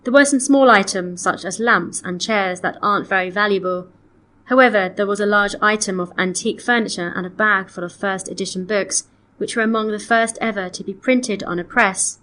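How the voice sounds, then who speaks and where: Measured, polite female customer, acted by a woman. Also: mid-range voice, sharp tone, lightly acted. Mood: polite, matter-of-fact. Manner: measured, clearly enunciated, orderly. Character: polite woman customer phoning to report missing, damaged antiques. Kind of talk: scripted listening-test dialogue.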